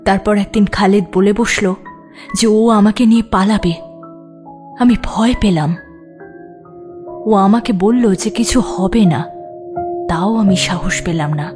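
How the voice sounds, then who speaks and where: A woman acts a frank, wary courtesan in an audio drama, confiding her story with a sharp tongue at times. Her voice sits at a medium pitch, with faint music underneath. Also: close to an everyday voice. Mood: wistful, confiding. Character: adult prostitute recounting her past, wary, frank, sometimes sharp-tongued. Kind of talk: Bengali audio story acting.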